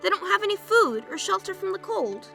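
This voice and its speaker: A woman's voice: very high voice, big pitch swings, brisk, creaky, pushed and bright, faint music behind, pitched above the performer's own voice, heavily acted. A woman voicing an eager, earnest young kid in a read-aloud story. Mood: worried. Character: eager young kid, caring about dogs.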